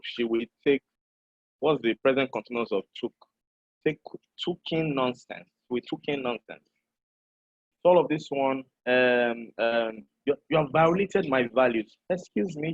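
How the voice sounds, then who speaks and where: A middle-aged Nigerian man, a public speaker, giving an animated, joking seminar lecture and addressing his class directly. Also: medium pitch, dry recording. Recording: dull, dry lo-fi recording.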